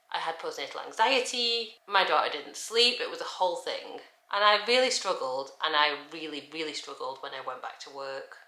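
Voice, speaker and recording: A woman, chatting candidly in a sit-down vlog. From England. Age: 30s. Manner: chatty and candid, lively shifts in pitch. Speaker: vlogger. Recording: echoey room.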